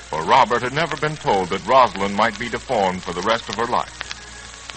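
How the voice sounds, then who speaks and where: A man's voice: medium pitch, lightly acted. A man playing a solemn announcer and narrator of a 1940s radio serial, formal and dramatic. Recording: crackly 1940s radio recording.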